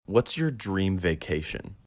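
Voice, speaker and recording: A young interviewer with a low-pitched voice that moves around a lot in tone. The questions are short and scripted, delivered politely and with an upbeat feel. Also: sing-song, a little slow. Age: young adult.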